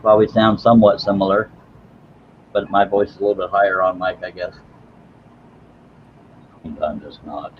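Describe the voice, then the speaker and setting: A man with a lowish voice hosts a casual workshop live stream, rambling along with self-deprecating asides and stumbling over his words. The recording sounds slightly dull, with a faint hum of noise behind him. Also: flat delivery, normal speed, pauses often. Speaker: host of a woodworking live stream. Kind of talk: casual live-stream chat while working.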